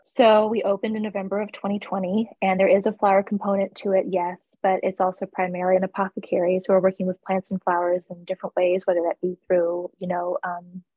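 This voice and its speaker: A woman's voice: medium pitch, completely flat delivery, fairly quick, few pauses, no room sound, muffled recording. A woman from the East Coast who owns a flower shop, talking candidly in a casual interview with fillers and laughs. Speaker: florist and shop owner.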